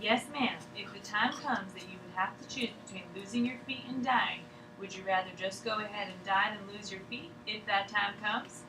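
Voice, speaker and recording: A woman's voice: medium pitch, steady tone, moderate pace, lightly acted. A woman acting a patient, deferential official who keeps questioning a sick patient in a drama table read. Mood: gently pressing. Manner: polite, deferential, insistent. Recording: echoey room, distant mic, noisy background.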